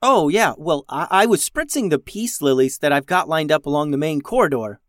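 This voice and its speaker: A man's voice: a little high, expressive, fairly quick, fairly bright tone, no echo, clean studio sound, voice pushed up. A man voicing a mild, fussy starship crewman with a hesitant, rambling manner in a children's story. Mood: distracted, chatty.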